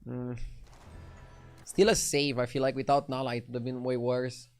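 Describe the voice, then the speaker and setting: A man's voice: medium pitch, flat delivery, normal speed, a little mellow, clean tone. A young man with a light Romanian accent, casually chatting with his live-stream audience while playing a card game. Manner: laid-back, chatty, answers chat between plays.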